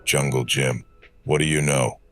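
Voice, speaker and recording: An actor playing a grim, battered ex-wrestler in a hardboiled noir audio drama, speaking in a terse, menacing way. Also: male voice, rumbling low, fairly even intonation, measured pace, background noise. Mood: cold, terse.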